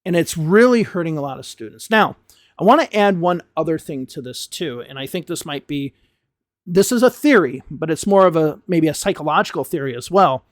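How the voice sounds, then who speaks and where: A man's voice: a little high, animated, a little fast, no echo, clean studio sound. An American man, an English teacher, giving a frank, impassioned talk to his learners with emphatic stresses. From United States. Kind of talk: talking-head video lesson.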